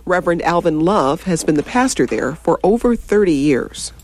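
A narrator reading a scripted documentary narration with lively intonation. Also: medium pitch, normal speed.

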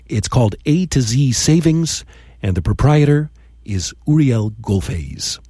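A man narrates a podcast segment in a warm, slightly breathy voice. His pitch rises and falls freely as he tells the story. The recording is dry and close, with no room echo. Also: unhurried. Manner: lively, storytelling delivery. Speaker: podcast host. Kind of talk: scripted narration and interviewing.

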